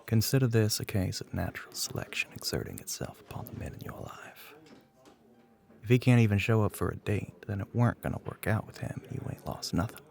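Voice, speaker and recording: A man playing a smug, flirty, middle-aged bartender with a Southern US accent in an intimate ASMR roleplay, smooth-talking and often dropping toward a whisper. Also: lowish voice, fairly quick, darkish tone. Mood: smug, reassuring. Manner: drawling, smooth-talking, pet names, often breathy near-whisper.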